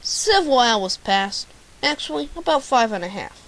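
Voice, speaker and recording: A woman's voice: a little high, lively intonation, normal speed, background noise. A woman playing a bumbling, self-important private detective in an amateur radio drama, with a pompous, theatrical delivery. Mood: self-important narrating.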